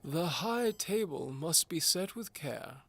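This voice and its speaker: A doddering old nobleman in a fantasy audio drama. The voice sits high and gravelly, swooping widely through pompous, fussy, formal pronouncements with a blustering edge. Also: expressive, slow pace, a bit bright, heavily acted. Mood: imperious. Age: older. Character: doddering old duke, fussy, imperious amateur alchemist. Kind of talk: audio drama character acting.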